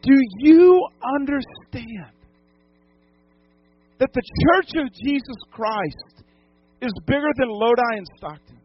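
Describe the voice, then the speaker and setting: A high-pitched male pastor from New England preaches to his congregation, pressing his points through repetition and direct questions. The recording sounds dull and lo-fi, as if taken in a church sanctuary. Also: lively intonation, slow pace, pauses often, dry recording. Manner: emphatic, repeats phrases, asks the congregation questions. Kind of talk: sermon.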